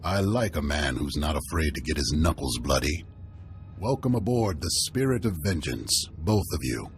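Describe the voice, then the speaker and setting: A man's voice: rumbling low, big pitch swings, normal speed, faint music behind, exaggerated voice. An actor playing a blunt, hearty male warlord with an authoritative manner in a video game. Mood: hearty welcome. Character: gruff, commanding male warlord who respects fighters, hearty and blunt.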